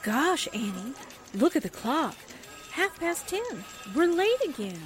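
An actress playing a perky, fretful young newsroom sidekick with a gushing, slangy manner in a radio drama. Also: female voice, high-pitched, sing-song, slow pace, faint music behind, heavily acted. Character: perky girlish newsroom sidekick, fretting and wisecracking. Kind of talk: 1940s radio drama acting.

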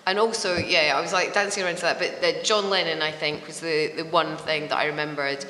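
A woman's voice: deep voice, fairly even intonation, fairly quick, pushed and bright, slight background noise. A middle-aged Scottish woman from Edinburgh, a podcast guest chatting casually, laughing often and acting out voices in her stories. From Scotland. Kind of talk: casual interview chat. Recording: roomy hall reverb with faint ambience.

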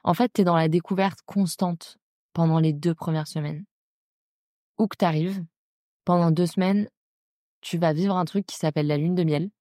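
Mellow young French woman, fairly even pitch. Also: normal speed, long pauses, no echo, studio quality. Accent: French from France. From France. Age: young adult. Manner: informal and slangy, addresses listener directly. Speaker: podcast host. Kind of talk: casual solo chat about personal experience.

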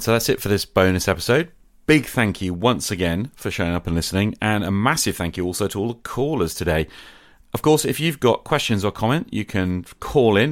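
A man's voice: sits low, no room sound, studio quality. A man hosting a podcast in an informal, chatty, appreciative way, talking straight to his listeners and callers. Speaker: podcast host. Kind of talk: casual chatty podcast outro.

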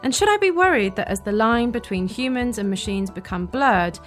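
A woman's voice: a little high, expressive, normal speed, soft background music. A British woman hosting a podcast, speaking in a scripted narration style. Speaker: podcast host.

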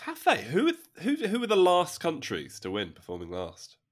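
A man's voice: a little high, huge pitch swings, moderate pace. A man co-hosting a podcast, talking in playful, teasing banter. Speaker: podcast co-host. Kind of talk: casual banter.

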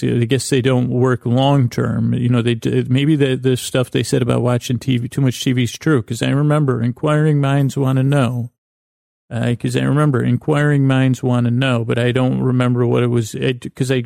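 A middle-aged man with an American accent and a creaky voice, hosting a sleep podcast with a halting, meandering bedtime monologue that keeps digressing. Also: medium pitch, darkish tone, no echo, clean studio sound. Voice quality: creaky, gravelly.

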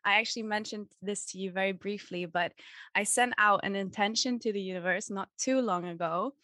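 A woman's voice: medium pitch, a little fast, pushed and bright, dry recording, clean studio sound. A young woman hosting a spiritual podcast, talking in an effusive, heartfelt, chatty way. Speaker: podcast host. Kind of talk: casual podcast interview.

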